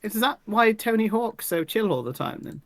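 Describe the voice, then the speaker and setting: Podcast panelist, mid-pitched. Loose, animated, conversational storytelling with laughter in it. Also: moderate pace. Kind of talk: casual chat.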